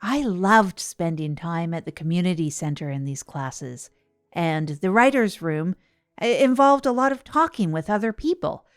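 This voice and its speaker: A woman's voice: lowish voice, expressive, moderate pace, no echo, studio quality, soft background music, lightly acted. A woman playing a self-deprecating radio host in an improvised comedy audio drama, conversational and given to digressions. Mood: chatty, wry. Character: chatty, rambling radio show host chronicling self-improvement attempts. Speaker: host and narrator.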